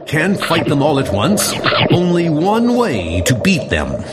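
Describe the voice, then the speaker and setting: A man's voice: on the high side, wildly swooping pitch, slow, over loud music, pitched above the performer's own voice, full character voice. A man voicing a bold male thunder god hero, reading heroic lines in a proclaiming manner.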